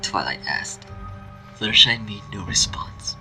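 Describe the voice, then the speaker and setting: A man narrating a scripted horror story in a hushed, breathy voice. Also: lowish voice, lively intonation, measured pace. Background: music.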